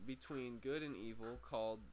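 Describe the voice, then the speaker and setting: A man's voice: mid-range voice, steady tone, normal speed. A man reading a book aloud as an amateur audiobook reader, often stumbling over words and repeating phrases. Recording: amateur recording with clear background noise.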